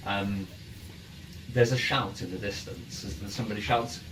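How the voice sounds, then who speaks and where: A man acting as game master, improvising tabletop narration in a halting, hesitant way, recorded in a noisy room. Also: fairly low pitch, steady tone, roomy sound. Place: in-person game room with ambient sound. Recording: noisy room, distant microphone.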